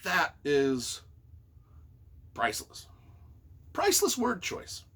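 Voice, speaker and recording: A man's voice: on the high side, huge pitch swings, slow pace, fairly bright tone, husky. A man reading reviews aloud and reacting off the cuff as their author, with a theatrical, emphatic, mock-exasperated delivery.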